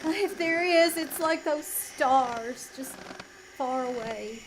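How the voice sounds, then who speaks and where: A woman playing a fragile, despairing young soldier's wife with a halting, hesitant delivery in 1940s radio drama style. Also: high-pitched. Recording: live stage recording, background noise.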